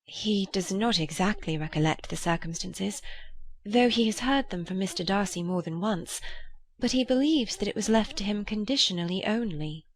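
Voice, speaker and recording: A woman voicing a gentle, fair-minded young gentlewoman in a dramatized classic novel, measured, polite and earnest. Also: mid-range voice, close to an everyday voice. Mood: earnest, regretful.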